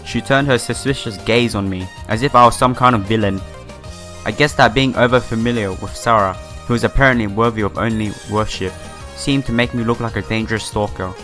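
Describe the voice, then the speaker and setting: A man's voice: fairly low pitch, a little fast, fairly bright tone. Man doing a casual game playthrough over music. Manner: stumbles over words and reacts aloud to what is read. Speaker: gaming YouTuber. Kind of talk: visual novel playthrough, reading text aloud with casual commentary.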